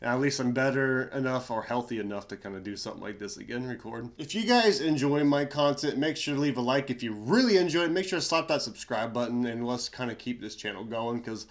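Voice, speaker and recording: A man's voice: mid-range voice, a little fast, few pauses. Young male YouTuber, nasal from a cold, tired, casual slangy rambler. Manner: rambling, slangy, with frequent fillers and chuckles. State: nasal, stuffy nose from a cold. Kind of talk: casual commentary on reddit stories.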